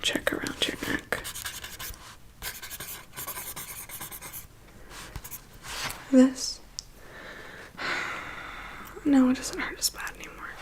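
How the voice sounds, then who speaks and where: A woman playing a chatty, weary vampire's assistant in an ASMR roleplay, in a soft breathy whisper with a confiding manner. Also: high voice. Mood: gentle, attentive. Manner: confiding, gossipy, unhurried. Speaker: ASMR performer. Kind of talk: ASMR personal attention roleplay.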